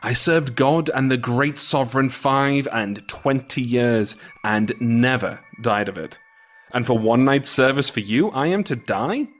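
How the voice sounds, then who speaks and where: A man's voice: mid-range voice, measured pace, fairly bright tone, natural voice. A man voicing a bold, cocky discharged Russian soldier in a folktale, speaking confidently. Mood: bold, insistent.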